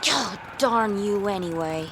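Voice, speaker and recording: A woman's voice: mid-range voice, expressive, measured pace, very noisy, lightly acted. A young woman playing a frank, curious schoolgirl in a radio drama, casual and wry in manner. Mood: annoyed. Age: young adult. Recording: music bed and vehicle sounds behind.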